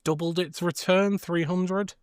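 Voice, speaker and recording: A man's voice: sits high, steady tone, a little slow, smooth, dry recording, studio quality. A man co-hosting a film podcast, chatting in an understated, informal way. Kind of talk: casual film chat.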